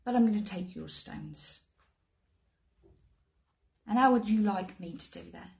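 An actress in her thirties with a British accent playing a menacing, controlled crime boss in a dramatic monologue, deliberate and threatening. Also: mid-range voice, animated, gravelly, darkish tone. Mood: menacing, calm. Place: small plain indoor room.

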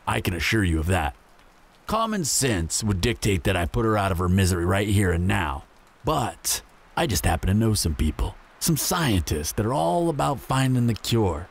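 Man voicing menacing male bandit, audio roleplay, lively swooping intonation, husky low voice, taunting drawl. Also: full character voice. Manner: taunting, drawling, mock-friendly. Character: menacing, smug male bandit in a zombie apocalypse.

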